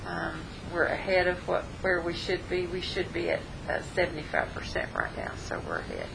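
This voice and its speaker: A woman's voice: lowish voice, very animated, normal speed, vocal fry. A woman with a scratchy voice reading a treasurer's report, matter-of-fact as she lists figures. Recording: noticeable background noise.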